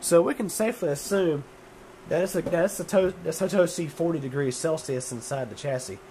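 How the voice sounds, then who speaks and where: A hoarse man getting over a cold, talking casually about his computer in a home room warmed by a wood stove. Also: fairly high pitch, a little fast, slight background noise. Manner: rambling, many fillers and restarts, chuckling. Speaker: computer hobbyist vlogger. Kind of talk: casual tech vlog.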